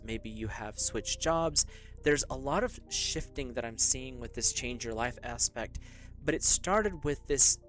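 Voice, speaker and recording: A man giving a casual, rambling tarot reading to his viewers, speaking loosely with fillers and small laughs. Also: mid-range voice. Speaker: tarot reader.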